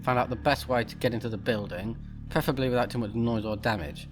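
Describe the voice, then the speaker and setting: A man's voice: mid-range voice, fast, music in the background. A man giving casual commentary as he plays a game, reacting and reading text aloud. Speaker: let's play host.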